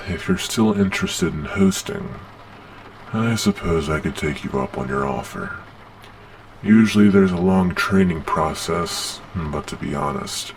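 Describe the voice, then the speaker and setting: A man's voice: deep voice, slight background noise, rough recording. A man playing a weary, matter-of-fact monster agent with a businesslike manner in an ASMR roleplay.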